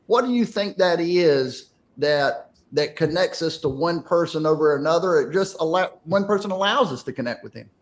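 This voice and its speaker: Man, show host, slightly high voice, upbeat, affirming, casual. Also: expressive, normal speed, few pauses. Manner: upbeat, affirming, asks open questions. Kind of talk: casual talk show hosting.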